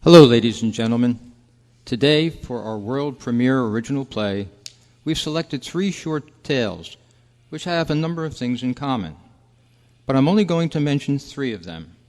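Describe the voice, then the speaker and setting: A man's voice: mid-range voice, animated, normal speed, a little mellow. Formal male announcer in a reverberant hall. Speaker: club host and show announcer. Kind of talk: live event announcing, old-time radio style. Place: convention meeting room before an audience. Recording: echoey hall, long reverb.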